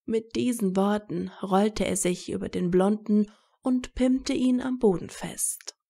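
A woman narrates a romantic story in a measured way. Her voice is mellow and relaxed with some creak, and she takes her time over each phrase. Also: mid-range voice. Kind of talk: scripted story narration of a romantic fan fiction.

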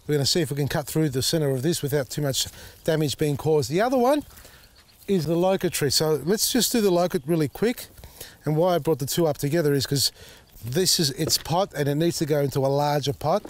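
A man, slightly husky as his voice recovers, chatting casually while demonstrating repotting outdoors in his garden. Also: fairly high pitch, fairly quick. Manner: chatty, thinks out loud, many fillers. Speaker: gardening show host. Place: outdoor garden beside a tall hedge.

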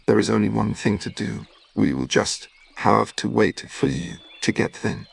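A man as a calm storybook boy, creaky voice. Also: lowish voice, moderate pace, pitched below the performer's own voice, exaggerated voice. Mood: matter-of-fact. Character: calm, kindly, practical young boy.

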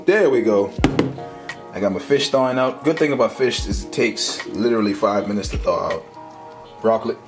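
A man's voice: fairly low pitch, normal speed, music in the background. A young man casually talking through his meal prep in a home kitchen, with a laid-back, slangy way of talking into a handheld camera. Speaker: fitness vlogger. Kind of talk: casual cooking vlog. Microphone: handheld camera mic.

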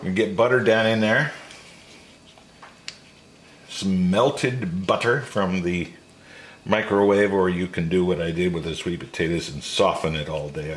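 A man's voice: sits low, slight background noise. A man in his sixties hosting a casual home cooking video in a folksy manner, with jokey asides. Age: 60s. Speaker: host of a home cooking channel. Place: home kitchen and backyard patio.

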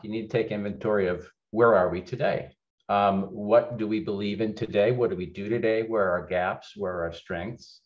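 A middle-aged man with a very low voice speaks over a webcam from an office. He talks in a candid, conversational way, pausing on fillers and restarting phrases. The call audio is dull and lo-fi. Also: a little mellow. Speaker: keynote speaker, legal executive. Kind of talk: webinar keynote presentation. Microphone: webcam on video call.

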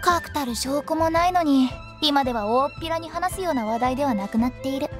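A woman's voice: sits high, fairly quick, natural voice. A woman voicing a playful, mischievous teenage girl with a teasing, theatrical way of talking in an anime game. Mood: explaining. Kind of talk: Japanese anime game voice acting. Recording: game music behind the voice.

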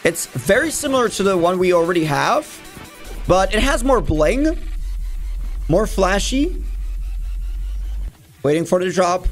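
High-pitched young man reacting, music behind. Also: very animated, measured pace, long pauses. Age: 20s. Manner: informal, jokey exaggeration. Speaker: YouTube host reacting to game cutscenes. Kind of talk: casual reaction commentary. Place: small home room, indoors.

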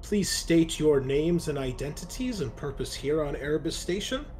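A man's voice: on the high side, lively intonation, moderate pace, a little mellow, slight background noise, pitched above the performer's own voice, lightly acted. A man voicing a polite, formal android guard on a space station in a tabletop game.

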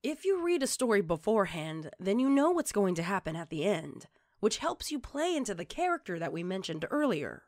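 A woman reading scripted tutorial narration in a measured, instructional way, like an online narrator presenting tips. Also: medium pitch, big pitch swings, dry recording, clean studio sound.

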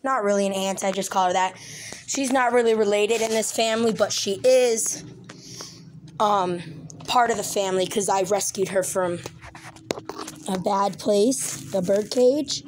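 A woman with a raspy voice, a little sick and clearing her throat, chatting casually behind a phone camera in a room, with lots of ums and likes. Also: medium pitch, measured pace, slight background noise. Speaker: pet channel host. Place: small room indoors. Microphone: handheld phone, mostly behind the camera.

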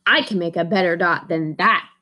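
A woman reading as a young girl art student, frustrated yet determined, with slow, emphatic delivery. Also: lowish voice, pushed and bright, a little hiss or hum, pitched below the performer's own voice.

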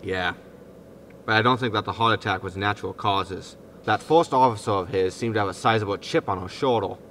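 Man playing a bright-voiced, exasperated space captain. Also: fairly low pitch, a little fast, slight background noise. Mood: grumbling, resigned. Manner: indignant, grumbling. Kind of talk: sci-fi sitcom audio drama acting.

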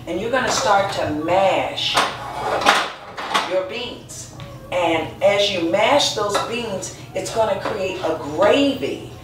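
A woman's voice: fairly low pitch, a little hiss or hum. A middle-aged woman giving a casual, encouraging cooking demonstration in her home kitchen, chatty and prone to restarts. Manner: chatty, encouraging, with frequent restarts and light laughs. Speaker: cooking instructor and nutritionist. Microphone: far camera. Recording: echoey kitchen from a distance.

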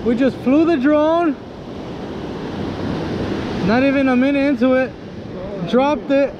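A man's voice: very high-pitched, slow. A man chatting casually to viewers in a laid-back fishing vlog, recorded outdoors on a body-worn action camera. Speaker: fishing vlogger. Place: outdoors on an open ocean beach. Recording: muffled action camera, loud wind and surf. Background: surf, waves and wind.

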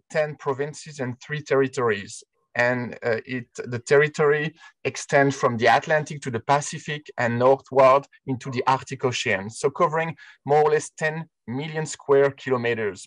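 A man's voice: mid-range voice, no echo, poor audio. French-accented man presenting by video call. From France. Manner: hesitant, many "uh" fillers. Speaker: arts council presenter. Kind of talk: online presentation. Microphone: headset on a video call.

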